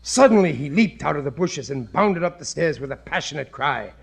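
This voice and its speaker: Old radio sitcom dad, blustery n suspicious, kinda high voice, earnest and not too bright. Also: male voice, animated, fairly quick, vocal fry, husky, full character voice. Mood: earnest reading aloud. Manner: colloquial, dim-witted earnestness. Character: blustery, suspicious working-class family father. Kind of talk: 1950s radio comedy acting.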